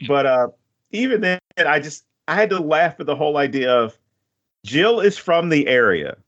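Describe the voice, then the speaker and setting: A man's voice: on the high side, sing-song, moderate pace, fairly bright tone, lo-fi sound. A man hosting a podcast, chatting casually about a film in a rambling way and laughing often. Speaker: podcast host.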